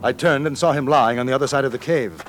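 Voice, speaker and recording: An actor playing a composed, courteous but firm British gentleman adventurer in a 1950s radio drama, speaking formally. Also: medium pitch, over music, lightly acted. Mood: somber, measured. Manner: polite, measured, formal.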